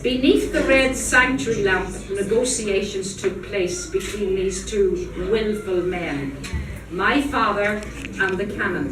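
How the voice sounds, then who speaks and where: Echoey, distant woman reading aloud slowly. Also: medium pitch, music in the background. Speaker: reader at a public event. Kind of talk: live literary reading aloud. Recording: echoey room, distant mic, noisy background.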